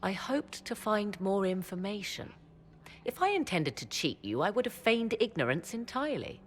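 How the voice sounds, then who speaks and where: An actress playing a cool, sardonic female witch scholar who speaks in a measured, dry, aloof way. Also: mid-range voice, very animated, a little hiss or hum, close to an everyday voice. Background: faint music.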